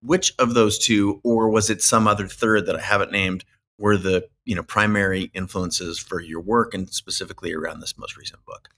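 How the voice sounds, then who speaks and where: A man hosting an interview show, asking long, winding questions at a measured pace. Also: on the low side, steady tone, fairly bright tone, a little hiss or hum. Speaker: podcast host.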